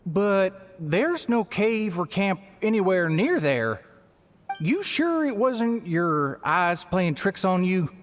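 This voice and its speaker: An actor voicing a polite, formal host of an amusement resort. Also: male voice, high voice, sing-song, takes it slowly, exaggerated voice. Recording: game scene with faint music.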